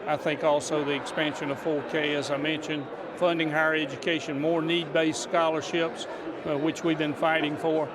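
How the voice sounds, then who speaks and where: Guy senator being interviewed, bit high pitched, measured, lots of noise around him. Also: little pitch movement. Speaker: state senator, party leader. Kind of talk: political interview response. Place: large indoor statehouse lobby. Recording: noisy public lobby ambience.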